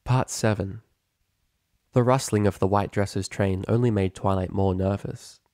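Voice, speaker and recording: Man, story narrator, lowish pitch, scripted reading, very dry recording. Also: fairly even intonation, normal speed, a little mellow, no room sound. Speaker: fan fiction reader.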